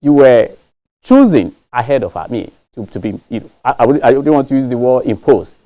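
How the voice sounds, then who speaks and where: A man interviewing a political candidate, asking probing questions in a halting, restarting way. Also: medium pitch, dark tone.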